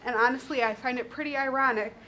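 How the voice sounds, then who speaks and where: A woman's voice: sits high, fairly even intonation, fast talker, bright, forceful tone, a little hiss or hum, rough recording. A woman in her thirties from Chicago with a northern accent, reading an earnest, emotional statement at a podium microphone in a county courtroom. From Chicago, USA. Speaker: public commenter. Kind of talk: prepared public statement. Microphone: podium mic.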